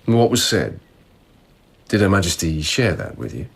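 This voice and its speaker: An actor playing a probing, restrained police lieutenant in a radio drama, with a husky voice and measured, insistent questioning. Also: male voice, low voice, sing-song, heavily acted. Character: male police lieutenant, probing, restrained investigator in old Japan. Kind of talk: naturalistic radio drama acting.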